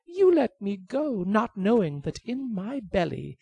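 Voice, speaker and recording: A woman voicing a small, clever golden bird in a told folktale, speaking in a shrill, taunting way. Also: sing-song, unhurried, vocal fry, mellow, husky, exaggerated voice. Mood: mocking.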